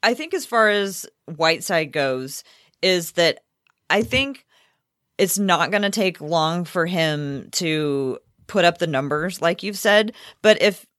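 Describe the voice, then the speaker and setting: A woman's voice: on the low side, animated, takes it slowly, fairly bright tone, dry recording, studio quality. A woman co-hosting a sports podcast in a casual conversational style.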